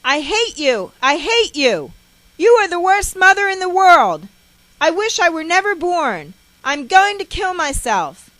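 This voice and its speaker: A woman's voice: extremely high pitch, sing-song, a little slow, fairly bright tone, no echo, pitched above the performer's own voice, heavily acted, low-quality recording. A woman storyteller voicing a defiant young girl who screams hysterically at her mother. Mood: hysterical rage.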